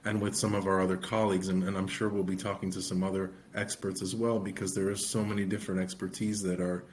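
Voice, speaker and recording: A middle-aged man, an immigration attorney co-hosting a podcast, explaining in an earnest, steady way. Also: low-pitched, quick pace, a little hiss or hum. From United States. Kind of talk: podcast conversation.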